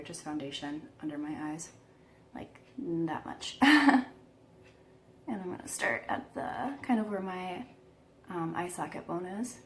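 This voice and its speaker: A woman giving a casual makeup tutorial, chatty and self-deprecating, a bit croaky today, filming herself at home. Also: fairly low pitch, a little hiss or hum. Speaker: beauty vlogger.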